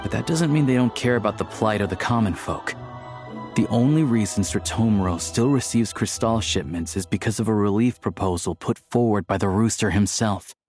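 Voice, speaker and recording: Guy voicing a level-headed young game character, kinda low, earnest n measured, explains stuff, bit of music under it. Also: close to an everyday voice. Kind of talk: video game voice acting.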